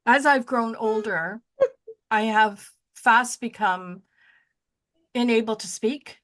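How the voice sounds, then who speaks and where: A woman in her sixties talks in a rambling, casual way, stopping to hunt for her train of thought. Her voice sits a little high, and she pokes fun at herself. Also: slow, fairly bright tone. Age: 60s. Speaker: podcast co-host. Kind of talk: casual chat.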